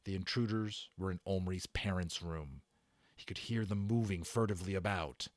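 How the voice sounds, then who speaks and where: A man's voice: low-pitched, moderate pace, dry recording. A man giving a dramatic, suspenseful storybook narration in a theatrical manner.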